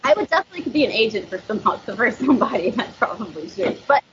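A woman's voice: on the high side, very animated, fast talker, noisy background. A woman chatting casually as a guest on a talk show. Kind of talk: casual chat. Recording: muffled stream, others talking over her.

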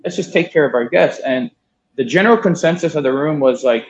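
A man's voice: on the high side, animated, brisk, slight background noise. A man in his thirties from Miami telling a long story in a casual interview, swearing now and then and quoting others. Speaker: guest, cigar importer. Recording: dull lo-fi sound.

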